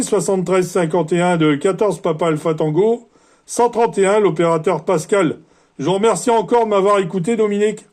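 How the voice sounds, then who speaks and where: A man speaks as a CB radio operator, courteous and orderly, in the set phrases of a radio contact. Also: high-pitched. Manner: polite, orderly, formulaic sign-offs.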